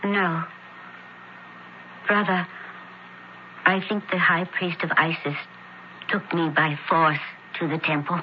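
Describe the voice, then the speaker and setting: Earnest young noblewoman, low voice. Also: flat delivery, takes it slowly, pauses often. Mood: troubled. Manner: earnest, dramatic, formal. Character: earnest, troubled, searching young noblewoman of ancient Pompeii. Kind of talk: old-time radio drama acting. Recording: dull old radio broadcast, faint noise.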